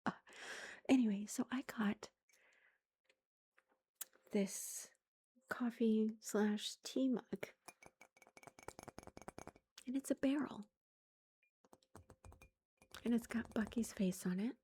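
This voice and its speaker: A woman in her thirties, a mother, telling a story in a soft whisper, chatty and amused. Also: a little high, slow pace, long pauses, slight background noise.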